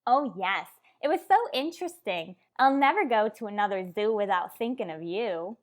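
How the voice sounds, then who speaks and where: A woman's voice: mid-range voice, expressive, normal speed, close to an everyday voice. A woman doing a gushing, naive tavern girl. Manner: chatty, wide-eyed, slangy. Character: naive gossipy adult woman, tavern owner's daughter. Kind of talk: old-time radio comedy acting.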